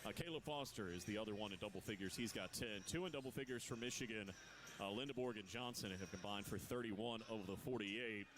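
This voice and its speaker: A man calling a live college basketball game on radio, his voice climbing with each big play. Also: on the low side. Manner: rapid, rising excitement on big plays. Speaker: play-by-play announcer. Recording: broadcast with loud arena noise.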